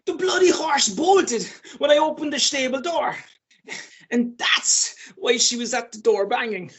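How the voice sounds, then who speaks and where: Woman playing a high-voiced, flustered philanderer. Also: measured pace. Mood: flustered, explaining. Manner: pleading, protesting. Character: hapless philandering man, flustered and placating. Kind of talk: radio play acting.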